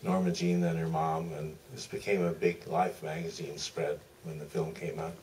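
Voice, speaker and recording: Male panel guest with a very low voice, halting anecdotal delivery, distant pickup with faint noise. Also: level tone, a little mellow. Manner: halting, deliberate, frequent pauses mid-sentence. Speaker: film director, panel guest. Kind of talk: anecdotal Q&A answer. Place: theater stage at a public Q&A.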